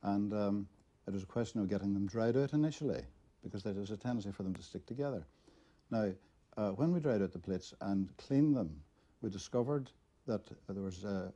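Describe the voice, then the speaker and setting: A middle-aged Northern Irish man from Derry speaks in a low, very mellow and dark voice with a husky edge. He talks off the cuff as an interviewee, halting as he searches for words. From Derry, Northern Ireland. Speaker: interviewee, local photo archivist. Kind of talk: unscripted interview recollection.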